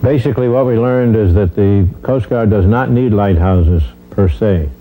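Dark, low-pitched older man explaining. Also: normal speed, slight background noise, rough recording. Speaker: interviewee. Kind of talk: explanatory interview talk. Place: indoor room. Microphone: lapel mic.

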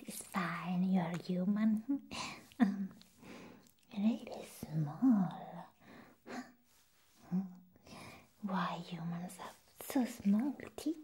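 A young woman playing an eerie, playful vampire nurse in an ASMR medical roleplay, speaking in a breathy whisper with lingering, repeated words and hums. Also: medium pitch, animated, very slow, long pauses, warm tone. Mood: curious, amused. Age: young adult. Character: eerie, playful female vampire nurse examining a human patient. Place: small room set up as a clinic. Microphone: close ASMR microphone.

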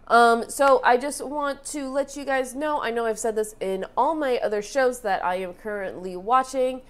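A woman's voice: on the high side, normal speed, clear, resonant tone. Nasal, stuffy young woman chatting casually. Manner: chatty, lots of fillers, excitable. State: stuffy, congested from allergies. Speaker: reaction channel host. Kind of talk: casual reaction commentary. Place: small bright room indoors.

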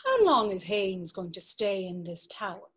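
A woman's voice: medium pitch, big pitch swings, moderate pace, a bit bright, slightly rough. A woman reading the part of a guarded, brooding young man in a dramatised literary story, plain and restrained. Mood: guarded, questioning.

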